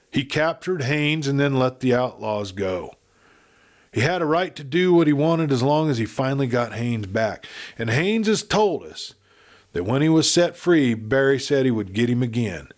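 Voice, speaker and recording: A man's voice: mid-range voice, lightly acted. Man voicing a firm gray-haired cowpuncher, folksy and declarative. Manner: folksy, declarative frontier speech. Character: gray-haired cowpuncher, plain-spoken, firm, addressing a crowd. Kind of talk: western storybook character speech.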